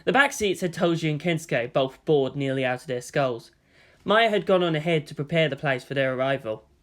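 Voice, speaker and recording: Higher-voiced man reading a story aloud. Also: expressive, brisk. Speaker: fan fiction audiobook reader. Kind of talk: dramatic scripted narration.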